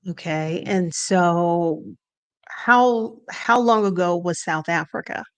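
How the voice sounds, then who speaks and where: A woman hosts an interview. Her voice sits a little low for a woman. She asks her questions in a calm, measured way. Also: clear, resonant tone. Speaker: host.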